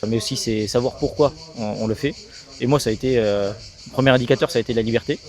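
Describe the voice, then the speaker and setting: A man's voice: medium pitch, a little mellow. A man in his thirties from Alsace giving a talkative, casual interview, peppered with business jargon. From Alsace, France. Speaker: sales agency co-founder being interviewed.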